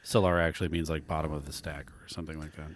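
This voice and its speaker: A man's voice: very deep voice, level tone, rapid-fire, no echo, clean studio sound. A man co-hosting a podcast, chatting casually with wry, teasing interjections. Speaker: podcast co-host. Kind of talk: casual chat.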